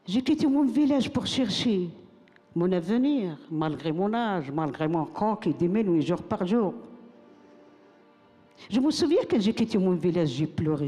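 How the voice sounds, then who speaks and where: An older woman recites her own text on a stage, her voice soft and mellow. She builds rhythm by repeating lines like a chant. The sound rings with the long reverb of a big hall. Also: fairly low pitch. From Morocco. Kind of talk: spoken-word recitation to an audience.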